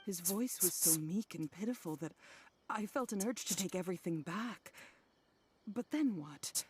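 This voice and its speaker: A woman's voice: medium pitch, lively intonation, normal speed, a little hiss or hum, close to an everyday voice. A woman voicing a worried middle-aged wife in a game, speaking wearily and apologetically. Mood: weary. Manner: weary, apologetic, soft-spoken. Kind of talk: game voice acting.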